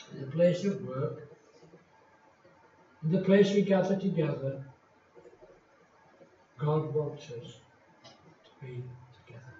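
An older man from England, a retired pastor, preaching in a slow, deliberate and emphatic way with many pauses. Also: a little high, warm tone, slight background noise. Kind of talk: sermon. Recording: echoey hall from far off, dull lo-fi.

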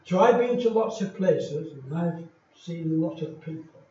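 Old english pastor preaching, emphatic with long pauses, a bit high voiced, sounds distant and muffled. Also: male voice, expressive, mellow. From England. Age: older. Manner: slow, deliberate, emphatic preaching with many pauses. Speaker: retired pastor. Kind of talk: sermon. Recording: echoey hall from far off, dull lo-fi.

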